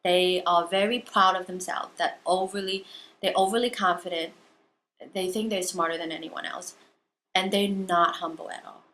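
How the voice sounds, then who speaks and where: Bright-voiced woman from southern China. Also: lowish voice, steady tone, normal speed, slight background noise, poor audio. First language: Chinese. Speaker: interviewee. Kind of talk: personal storytelling in an interview.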